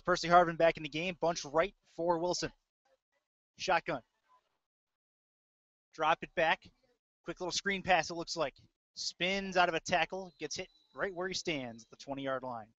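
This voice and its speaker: A man's voice: medium pitch, a bit bright, no echo. A man doing live play-by-play football commentary in clipped fragments with hesitant asides. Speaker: play-by-play announcer. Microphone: close mic, audio-only stream. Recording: dull lo-fi dry audio.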